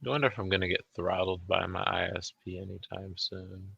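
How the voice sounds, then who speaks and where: A man's voice: low-pitched, steady tone, a little fast, gravelly, pushed and bright, husky, dry recording, highs cut off. A man co-hosting a stream in offhand, sweary casual chat. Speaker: stream co-host.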